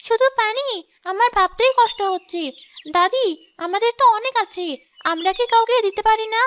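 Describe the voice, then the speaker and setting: A compassionate young boy from a cartoon, as a woman voices him. He asks earnest questions in a very high, childlike voice. Faint noise is heard behind it. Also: moderate pace, a bit bright.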